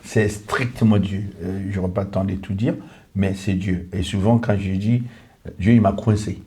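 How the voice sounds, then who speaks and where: Earnest pastor. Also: male voice, deep voice, steady tone, moderate pace, mellow. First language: English. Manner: earnest, repetitive, lists in short clauses. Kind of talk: testimony in a podcast conversation.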